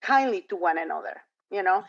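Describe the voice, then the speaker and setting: A woman's voice: lowish voice, a little fast, creaky. Earnest woman on a dull video call. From outside the United States. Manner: expressive and earnest, many fillers. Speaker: panelist. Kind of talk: panel discussion on a video call.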